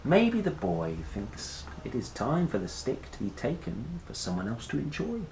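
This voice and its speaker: A man reading a children's picture book aloud in a playful, expressive manner, with chatty asides. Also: medium pitch. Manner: playful, expressive, dramatic pauses and exclamations. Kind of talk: animated storybook read-aloud with chatty asides. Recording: roomy echo, faint background noise.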